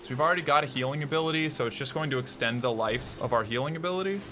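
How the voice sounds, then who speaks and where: A man streaming a video game, reacting out loud, cursing, and talking to himself as he plays. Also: mid-range voice, very fast. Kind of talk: gameplay commentary. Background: game music.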